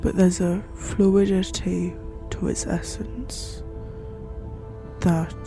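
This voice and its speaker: Horror podcast narrator: very slow, creaky mid-pitched voice, measured and theatrical, musing to the listener, music underneath. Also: steady tone. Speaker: narrator and host of an audio horror fiction show. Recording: music behind the voice. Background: background music.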